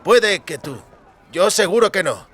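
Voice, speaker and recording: A man's voice: high-pitched, animated, measured pace, fairly bright tone, voice pushed up, heavily acted. A man voicing an educated young man with a dry, sarcastic, slightly superior manner in an audiobook. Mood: doubtful. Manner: dry, aloof.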